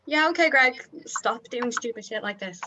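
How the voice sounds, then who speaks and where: A woman's voice: fairly high pitch, lively intonation, moderate pace, background noise, lightly acted. A woman in a comedy table read playing a brash, scornful member of a heavy metal band. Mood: scolding.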